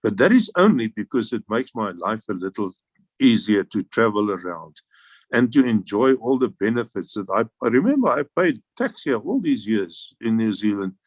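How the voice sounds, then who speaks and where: A man's voice: lowish voice, big pitch swings, normal speed, no echo. An older South African man, a veteran guest, reminiscing in a rambling way with frequent restarts and chuckles. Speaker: interview guest, air force veteran. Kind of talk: relaxed reminiscing.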